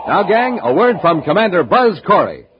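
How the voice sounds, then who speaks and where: A male announcer pitching a sponsor on a 1950s children's radio serial in a punchy, emphatic style. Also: sing-song, moderate pace. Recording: muffled, noisy 1950s radio recording.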